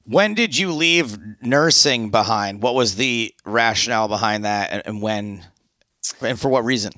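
Host: man, bright forward tone, easygoing stumbling questions, dry close mic. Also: fairly low pitch, lively intonation, normal speed, no echo. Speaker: podcast host. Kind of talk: casual interview.

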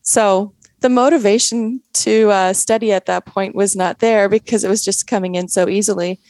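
A woman's voice: mid-range voice, fairly even intonation, moderate pace, darkish tone, no room sound, poor audio. Chatty Canadian woman in a dry booth. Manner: chatty, many fillers and restarts. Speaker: guest. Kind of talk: casual interview talk.

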